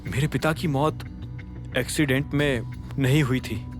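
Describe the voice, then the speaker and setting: A man's voice: medium pitch, steady tone, measured pace, natural voice. A guarded, grieving young man, acted by a male narrator talks in an audio drama. He is subdued and earnest over faint music. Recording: music under the voice.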